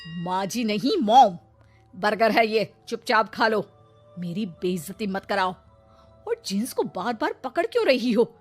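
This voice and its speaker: A woman voicing a haughty, scolding modern middle-aged mother-in-law in an animated story, sprinkling in English words. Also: mid-range voice, measured pace, faint music behind. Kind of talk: animated moral story acting.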